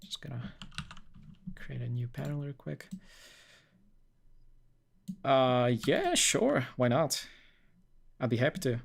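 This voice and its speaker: Young guy from poland rambling on stream, lots of uh and like, mid pitch. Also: animated, takes it slowly, vocal fry, long pauses. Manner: rambling, many fillers and restarts. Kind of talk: casual live-stream chat.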